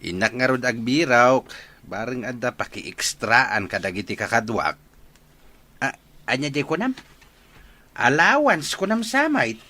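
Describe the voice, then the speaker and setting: A man's voice: medium pitch, very animated, a little slow, pauses often, pushed and bright, full character voice. An actor with an Ilocano accent playing a money-grubbing older father who pesters, in a radio drama. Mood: demanding.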